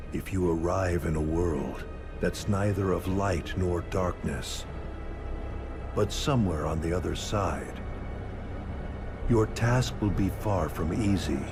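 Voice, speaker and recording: An actor playing a sinister, solemn older scholar in a video game, speaking in a grave, portentous manner. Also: male voice, low-pitched, vocal fry, exaggerated voice. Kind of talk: scripted video game cutscene acting.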